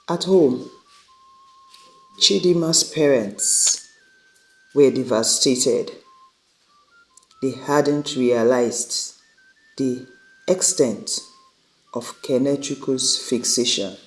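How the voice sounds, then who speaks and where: Very slow-paced male storyteller. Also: medium pitch, poor audio. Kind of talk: scripted dramatic folktale narration.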